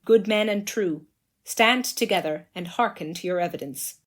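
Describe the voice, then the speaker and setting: An actress playing a formal, measured court clerk reading out a murder indictment in a courtroom audio drama. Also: female voice, medium pitch, natural voice. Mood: formal, solemn.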